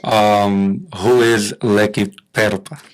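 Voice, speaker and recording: A man's voice: on the low side, steady tone, slow pace. A young man hosting a podcast, friendly and slangy, asking his guest questions in a casual tone. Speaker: podcast host.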